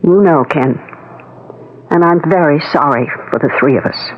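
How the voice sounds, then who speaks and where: Actress as composed, knowing wife, thin radio sound. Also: female voice, low-pitched, moderate pace, background noise, close to an everyday voice. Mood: grave foreboding. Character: composed jealous middle-aged wife, knowing and foreboding. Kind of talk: 1970s radio drama acting. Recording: thin, narrow-band 1970s radio recording.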